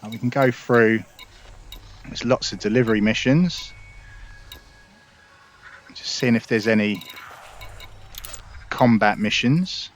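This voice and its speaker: A man's voice: mid-range voice, slow, long pauses, slight background noise. A man hosting a casual gameplay tutorial, explaining things in a chatty, upbeat way. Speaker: gaming video host.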